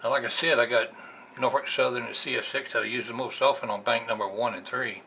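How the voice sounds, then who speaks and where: A man's voice: mid-range voice, steady tone, fast talker, vocal fry, pushed and bright. An American man giving a casual, plainspoken explanation as a hobbyist vlogger, recorded indoors on a camera's built-in microphone. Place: indoors, at a computer. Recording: noisy amateur camera recording.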